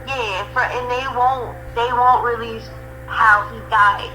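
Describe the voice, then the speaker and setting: A woman's voice: fairly high pitch, steady tone, slow, background noise. A woman in her forties calling in to a show, telling a rambling story with emphatic swearing. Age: 40s. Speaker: caller. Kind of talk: casual call-in chat.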